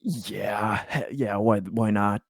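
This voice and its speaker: A man's voice: lowish voice, takes it slowly. A man playing a tabletop roleplaying game, casually and with amusement describing what his character does. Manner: amused, laughs readily, swears.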